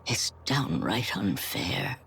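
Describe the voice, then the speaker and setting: An actress playing a frail, dying young woman in a video game, resigned and stubborn, with wistful, halting delivery. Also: very deep voice, very animated, creaky, a bit bright, a little hiss or hum, exaggerated voice. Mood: wistful, bitter. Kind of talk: dramatic video game cutscene acting.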